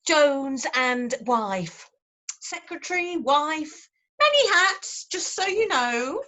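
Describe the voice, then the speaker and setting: An actress playing a hyper, snooty secretary and solicitor's wife, officious and drawn-out, in a table read over a video call. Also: female voice, sits high, very animated, pushed and bright, heavily acted. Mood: brightly officious. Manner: officious, pointedly drawn-out delivery.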